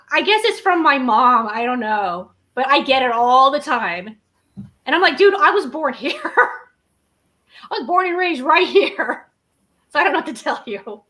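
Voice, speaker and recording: A woman co-hosting a casual chat, telling lively stories and acting out what people said to her. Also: high voice. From Southern United States. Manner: animated storyteller, acts out quotes, laughs often. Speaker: co-host.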